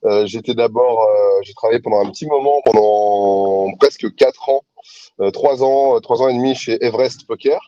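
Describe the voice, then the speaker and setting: A man's voice: medium pitch, moderate pace, no echo. Casual interview guest: man in his forties, easygoing and chatty, a dry, slightly muffled phone line. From New Caledonia, raised in France. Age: 40s. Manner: relaxed, chatty, many fillers. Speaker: interview guest, poker commentator. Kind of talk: casual remote interview chat. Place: outdoor terrasse, sitting on a pouf. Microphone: phone on a video call.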